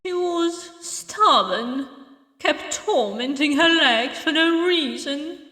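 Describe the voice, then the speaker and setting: A woman voicing a tender, grieving old woman in a visual novel, speaking slowly and haltingly with wistful sorrow. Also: high-pitched, expressive, pushed and bright, pitched above the performer's own voice, exaggerated voice. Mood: fond, wistful. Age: older. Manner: slow, halting, sorrowful delivery. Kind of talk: visual novel character voice acting. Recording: echoey hall reverb, distant sound.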